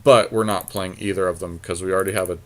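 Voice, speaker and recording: A man's voice: deep voice, fairly even intonation, fast talker. A man co-hosting a podcast, chatting casually and thinking aloud in short, colloquial phrases as he works through a card game. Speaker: podcast co-host. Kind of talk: casual chat while drafting a card game.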